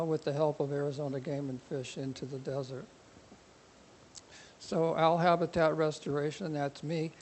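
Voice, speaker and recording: Male presenter, quiet voice, slightly higher pitch, mellow tone, runny nose, rambling and jokey, faint hiss behind. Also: low-quality recording. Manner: rambling, joking delivery. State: runny nose from cold air. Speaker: presenter at a conservation society talk. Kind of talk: informal public lecture. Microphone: microphone.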